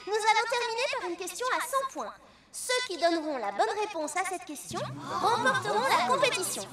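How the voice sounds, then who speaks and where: A woman playing a bubbly female quiz host in a children's cartoon dub, announcing in an animated, clear presenter manner. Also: very high-pitched, a little fast, distant mic, heavy background noise. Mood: upbeat, announcing. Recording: music under the voice.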